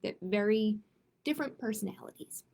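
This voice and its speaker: A woman's voice: mid-range voice, moderate pace, darkish tone. A woman hosting a children's storytime, reading picture books aloud and chatting expressively and directly with young listeners. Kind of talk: children's read-aloud with casual chat.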